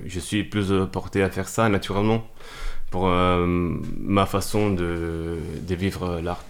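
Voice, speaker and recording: Very deep-voiced man with Italian accent. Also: flat delivery, a little slow. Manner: searching for words, thoughtful. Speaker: musician guest. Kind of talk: casual interview.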